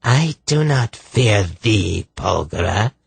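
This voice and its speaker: A man voicing a malevolent sorceress in a fantasy audiobook, hateful and menacing, with a harsh rasp and archaic, peculiar speech. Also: on the low side, lively intonation, slow, no room sound, voice pushed up, exaggerated voice. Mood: hateful, defiant. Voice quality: harsh, rasping.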